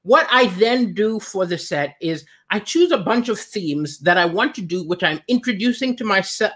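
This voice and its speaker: A middle-aged man from Malawi hosting a live comedy stream from his kitchen, talking animatedly into a webcam. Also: high-pitched. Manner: animated, gesturing, shifts between chatty asides and punchlines. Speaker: comedian and livestream host. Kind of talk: stand-up comedy on a livestream. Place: home kitchen, indoors. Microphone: webcam at desk.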